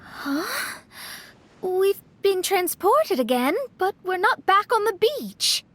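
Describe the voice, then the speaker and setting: A woman's voice: very high-pitched, sing-song, slow pace, exaggerated voice. A woman voicing an inquisitive, articulate young female adventurer in a video game, speaking in an explanatory manner. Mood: thoughtful.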